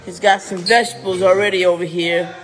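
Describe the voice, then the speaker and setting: A man's voice: high-pitched, little pitch movement, a little fast, a bit bright. A middle-aged man hosting a casual phone livestream in a big home kitchen, exclaiming and narrating the food he sees. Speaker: livestream host. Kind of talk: casual live-stream chat. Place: large home kitchen, indoors. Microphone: phone held in hand. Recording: music playing in the room.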